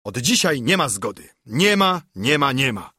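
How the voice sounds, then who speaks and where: A man's voice: medium pitch, expressive, unhurried, breathy edge, no room sound, clean studio sound. A man reading as a blustering, indignant country judge. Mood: furious, defiant. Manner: shouting, blustering, exclamatory. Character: hot-tempered stubborn country judge, indignant gentleman. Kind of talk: dramatised audiobook reading of verse.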